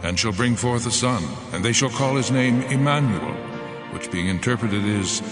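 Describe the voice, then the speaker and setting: A man playing a grave prophet proclaiming ancient prophecy in a measured dramatized scripture reading. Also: mid-range voice, lightly acted. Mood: solemn. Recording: loud music over the voice. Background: music.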